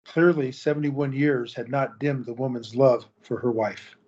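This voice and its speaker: A man narrating an audio drama in a measured storytelling manner. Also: medium pitch, fairly even intonation, darkish tone, husky. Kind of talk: scripted narration. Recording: dull lo-fi recording.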